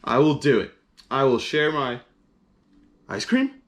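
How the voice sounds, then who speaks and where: A man voicing an excitable, childlike elephant from a picture book, exclaiming and agonizing out loud. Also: mid-range voice, slow, voice pushed up. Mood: dismayed. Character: excitable, childlike elephant torn over sharing his ice cream.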